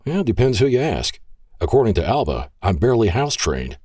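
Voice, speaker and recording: A man's voice: on the low side, big pitch swings, fairly quick, dark tone, husky, dry recording, clean studio sound, pitched above the performer's own voice. A man voicing an easygoing young man who jokes about himself. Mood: amused. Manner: light, self-deprecating humor. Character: easygoing grinning young man, self-mocking.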